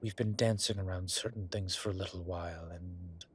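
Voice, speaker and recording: A man voicing a flirtatious, smitten demon professor in an intimate audio roleplay, husky and breathy, with halting, wry phrasing. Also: low voice, steady tone. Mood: earnest, hesitant. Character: teasing, smitten male incubus demon professor. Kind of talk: intimate one-sided audio roleplay acting.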